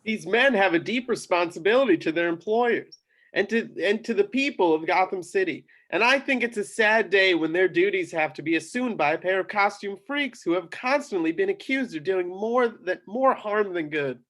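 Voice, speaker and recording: A man acts out a scornful, disapproving man in an audio drama. His voice is high for a man and his delivery slightly halting. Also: lively intonation, a bit bright. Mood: grave. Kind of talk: amateur script reading.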